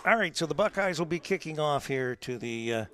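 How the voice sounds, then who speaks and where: A man's voice: fairly high pitch, animated, brisk, pushed and bright. A man from the American Midwest with a Midwestern accent, doing amateur color commentary at a college football stadium, teasing his partner and hesitating with fillers. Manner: teasing, banter-heavy, lots of uh fillers.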